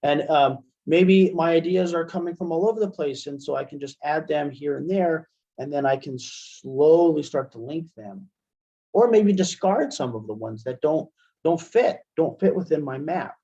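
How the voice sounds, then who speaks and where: A man giving an informal, step-by-step software demonstration as a webinar instructor, in dull lo-fi call audio. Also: fairly high pitch, normal speed.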